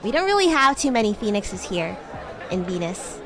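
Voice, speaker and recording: A woman livestreaming in character as a bratty, playful young demon classmate, chatting in a giggly way with drawn-out, hesitant words. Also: medium pitch, sing-song, music in the background, a crowd in the background. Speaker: VTuber streamer.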